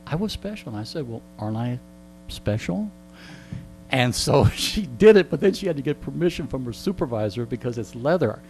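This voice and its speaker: A man's voice: medium pitch, expressive, a little fast, a little mellow, low-quality recording. A middle-aged or older man telling casual anecdotes in a wry, joking, digressive way. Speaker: interview guest and storyteller. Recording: clear background mains hum.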